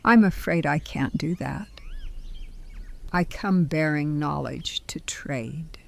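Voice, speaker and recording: A woman playing a composed, benevolent older stranger in an audio drama, speaking in a measured, polite, articulate way. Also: deep voice, gravelly, heavily acted. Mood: calm, earnest.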